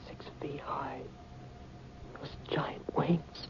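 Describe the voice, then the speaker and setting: An actor playing a fearful young man, halting and urgent, in 1950s radio drama style. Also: medium pitch, steady tone, whispered. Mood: terrified hush. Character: frightened young male companion, desperate and fearful. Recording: muffled, noisy 1950s radio recording.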